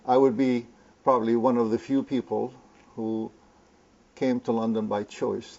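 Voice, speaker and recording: An older man from Lebanon, a guest in an interview, talking hesitantly with many fillers and pauses. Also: fairly low pitch, gravelly, darkish tone. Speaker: interview guest, community founder. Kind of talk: conversational interview. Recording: muffled lo-fi recording.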